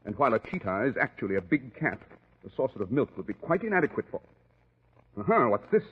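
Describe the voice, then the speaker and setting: Tinny 1940s radio drama, shrewd detective, husky, urbane, precise, dryly witty, lively intonation. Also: male voice, mid-range voice, quick pace. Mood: keen, inquisitive. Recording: thin, band-limited 1940s radio recording.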